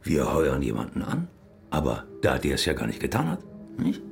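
Sly, gravelly, very deep-voiced man. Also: wildly swooping pitch, moderate pace, soft background music, heavily acted. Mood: urging, persuasive. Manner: insinuating, persuasive. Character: sly, jovial criminal man goading his acquaintance toward murder. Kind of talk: German radio crime drama acting.

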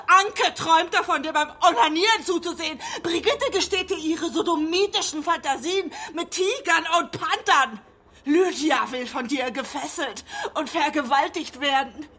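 An actress playing a wounded, furious wife in a radio drama, emotional, scornful and accusing. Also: female voice, extremely high pitch, pushed and bright, heavily acted. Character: furious, wounded wife raging at her unfaithful husband. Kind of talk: intense radio drama acting.

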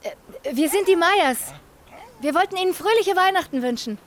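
A woman's voice: very high voice, animated, normal speed, slight background noise. A woman playing a restless, persuasive wife in a radio drama. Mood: polite. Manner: persuasive, insistent. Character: coaxing, restless wife out drinking at Christmas.

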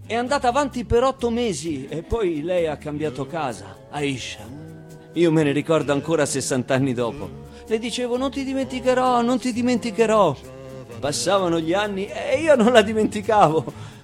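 Man playing a gentle, wistful old man. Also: high-pitched, lively intonation, moderate pace, soft background music, pitched above the performer's own voice. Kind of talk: theatrical monologue.

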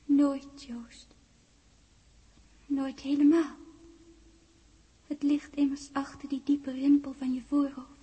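Casting: dreamlike young woman of memory, high soft-toned voice, tender and teasing, vintage radio play. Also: little pitch movement, slow pace, pauses often. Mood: wistful, haunting. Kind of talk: 1960s radio drama acting.

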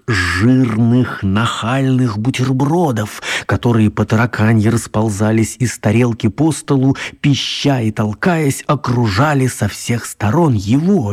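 A male narrator for a children's radio drama, with a slightly husky, mid-pitched voice. He tells the story theatrically, stretching words for dramatic emphasis. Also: a little mellow.

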